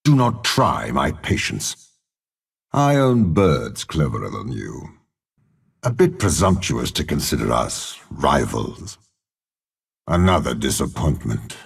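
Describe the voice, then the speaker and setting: An aging, imperious game villain with an extremely deep, husky voice. He is a cold schemer who speaks slowly and deliberately, with haughty menace and wide, dramatic swings in pitch. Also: big pitch swings, heavily acted. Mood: haughty, menacing. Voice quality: gravelly, husky. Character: cold, scheming older male warlord and spymaster, imperious villain. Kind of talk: video game character voice lines.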